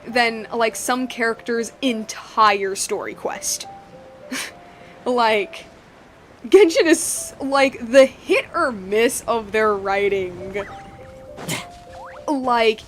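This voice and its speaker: A young woman giving casual, chatty live gameplay commentary, rambling and excitable as she goes. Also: on the high side. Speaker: game streamer.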